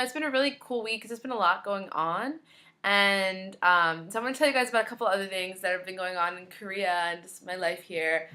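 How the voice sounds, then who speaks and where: Chatty young woman, a bit hoarse. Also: mid-range voice, pushed and bright. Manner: chatty, many ums and likes. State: slightly hoarse, losing her voice. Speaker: vlogger. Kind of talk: casual chat to camera.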